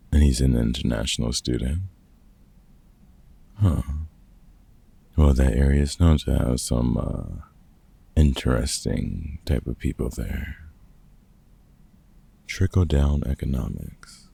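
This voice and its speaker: Man playing very deep, gravelly, kindly vampire hunter. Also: dark tone, full character voice. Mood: warm, wry. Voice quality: gravelly, husky. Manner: gruff, sweary, casual mentor talk with pauses. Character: gruff, kind-hearted veteran male vampire hunter and woodworker. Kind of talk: comfort ASMR audio roleplay.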